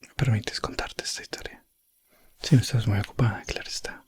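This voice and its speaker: A man playing a calm, courteous elf prince adventurer in a soft, gentle ASMR fantasy roleplay, slipping in and out of whispers. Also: on the low side, a little fast, breathy edge, dry recording, clean studio sound. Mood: gentle, intimate. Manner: hushed, gentle, drifting in and out of whisper.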